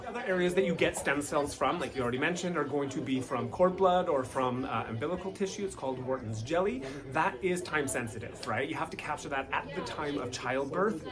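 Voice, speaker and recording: A Canadian man giving interview answers as a company representative, explaining in a fluent, pitch-like way and often tagging his points with "right?". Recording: crowd chatter in background. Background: murmuring crowd.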